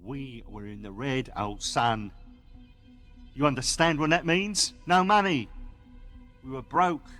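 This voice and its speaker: A man's voice: medium pitch, takes it slowly, long pauses, fairly bright tone, faint music behind. An actor playing a chatty, indignant working man in a radio play, talking colloquially and firing off questions. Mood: animated recollection. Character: chatty, indignant working-class male employee recalling events. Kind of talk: radio play monologue acting.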